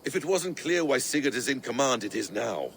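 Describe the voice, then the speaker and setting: Man acting a stern warrior, animated intonation. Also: medium pitch, brisk, slight background noise, natural voice. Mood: stern reproach. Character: stern, rebuking warrior man, coldly superior. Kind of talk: video game cutscene acting.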